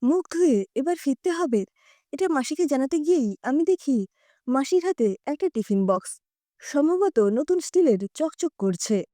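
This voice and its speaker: A woman narrating a story in an expressive first-person storytelling style. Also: high-pitched, normal speed, dry recording, studio quality.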